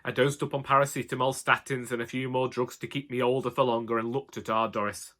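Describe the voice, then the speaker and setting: A man's voice: mid-range voice, level tone, fast talker, sharp tone, close to an everyday voice. A man playing a henpecked older husband with a Northern English accent, telling comic tales in a wry, exasperated, colloquial way. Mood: wry, resigned. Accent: Northern English, Cheshire. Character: grumbling henpecked old Northern husband, dryly comic storyteller. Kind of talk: comic dramatic monologue narration.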